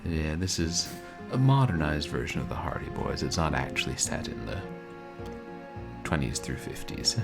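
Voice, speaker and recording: A man streaming a video game, chatting casually and soft-spoken with viewers, often chuckling. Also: extremely low pitch, very animated, normal speed. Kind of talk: casual let's-play chat. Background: game music.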